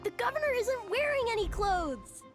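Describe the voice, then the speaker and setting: A woman's voice: extremely high pitch, sing-song, moderate pace. A woman voicing a spirited, opinionated little village girl in a video game, childlike and emphatic, with music behind her. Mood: matter-of-fact.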